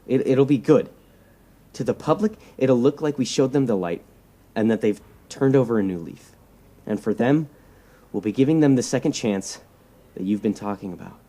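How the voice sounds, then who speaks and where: An actor playing a persuasive, self-righteous young superhero leader in an audio drama, speaking earnestly and insistently and repeating words for emphasis. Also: male voice, mid-range voice, lively intonation, moderate pace, mellow, natural voice. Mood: eager, scheming.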